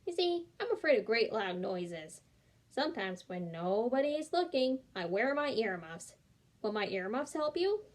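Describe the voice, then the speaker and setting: A woman's voice: on the high side, very animated, normal speed. A woman reading aloud, voicing a big storybook lion who is secretly timid, with a confiding manner.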